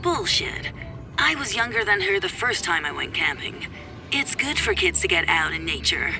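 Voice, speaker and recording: A woman voicing a bored, pushy ex-boyfriend in a quiet, flat, dismissive manner for an audiobook story. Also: mid-range voice, normal speed, music in the background. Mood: bored, insistent.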